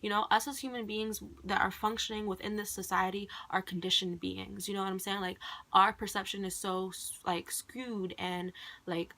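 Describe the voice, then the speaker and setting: A woman's voice: mid-range voice, level tone, normal speed, bright, forceful tone. A young woman, a college student whose first language is Khmer, rambling casually and thoughtfully to camera with many "like"s and "um"s. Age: young adult. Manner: rambling, heavy on "like" and "um".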